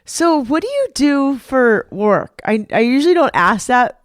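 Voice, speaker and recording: A woman's voice: sits high, sing-song, measured pace, no room sound, clean studio sound. A woman podcast host narrating a scripted intro and interviewing a guest with upbeat enthusiasm. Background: music bed under narration.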